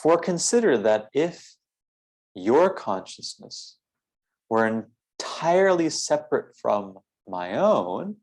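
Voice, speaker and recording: A man leading a philosophical guided meditation in a measured, deliberate way, with formal phrasing and frequent pauses. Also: medium pitch, big pitch swings, darkish tone.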